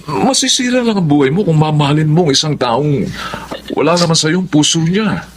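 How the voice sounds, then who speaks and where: A man's voice: fairly high pitch, animated, a little fast, a little hiss or hum, lightly acted. A man with a Filipino accent acting a young architect and firm ex-boyfriend in a romance drama. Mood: firm pleading.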